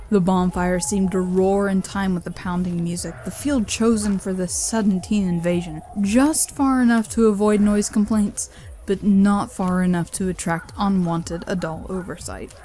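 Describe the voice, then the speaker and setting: A woman's voice: mid-range voice, dark tone. A woman giving scripted narration of a horror story as its narrator. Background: music.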